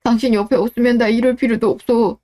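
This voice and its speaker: A woman's voice: fairly high pitch, steady tone, fast, warm tone, close to an everyday voice. Woman acting earnest, embittered young husband. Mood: pleading. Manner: earnest, emotional. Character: pleading, embittered adult husband, loyal to his wife. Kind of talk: melodramatic radio drama dialogue.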